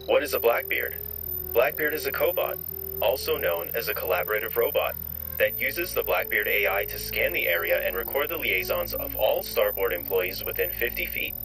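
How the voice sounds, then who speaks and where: A man's voice: lowish voice, animated, moderate pace, sharp tone, soft background music, low-quality recording. A man playing a literal-minded AI robot coworker in an audio drama, speaking in formal, stilted phrases with polite menace. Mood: politely informative. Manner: formal, stilted, uncontracted speech.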